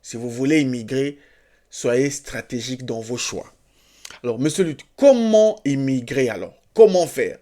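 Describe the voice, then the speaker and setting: A man's voice: mid-range voice, expressive, unhurried, warm tone. A man hosting his own video channel, talking straight to camera in an upbeat, emphatic way and repeating phrases for emphasis. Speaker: YouTube channel host giving immigration advice. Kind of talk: enthusiastic direct-to-camera pep talk.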